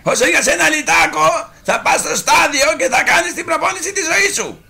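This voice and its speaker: A man voicing a short, bossy, irate hero's trainer in an animated film fan dub, gravelly and barking orders. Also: very high-pitched, a little hiss or hum, exaggerated voice. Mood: furious.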